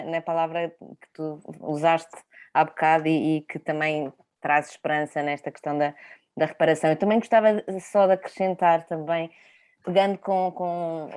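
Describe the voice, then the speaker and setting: A woman with a Portuguese accent speaks in a low-pitched voice as part of a panel discussion. Her delivery is spontaneous and reflective. She often halts to search for a word and rounds off points with short tag questions. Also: a little mellow, clear, resonant tone. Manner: halting, searching for words, checks with tag questions.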